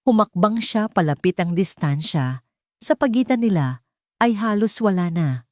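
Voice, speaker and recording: A woman's voice: medium pitch, expressive, unhurried, darkish tone, no echo, studio quality. A woman reading a dramatic romance story as a scripted narration.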